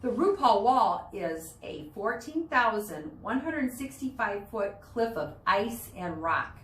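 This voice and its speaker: A woman's voice: mid-range voice, sing-song, fairly bright tone. Woman reading aloud, distant and echoey. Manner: explanatory, pauses to explain words and pictures. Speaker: teacher reading aloud to students. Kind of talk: read-aloud of a children's nonfiction book. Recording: roomy, distant mic with background noise.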